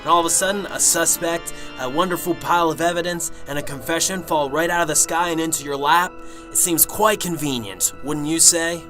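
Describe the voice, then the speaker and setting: A man's voice: fairly high pitch, brisk, over music, lightly acted, low-quality recording. A man playing a cynical, sarcastic police detective who sounds skeptical and confrontational, in an amateur radio drama. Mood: accusing.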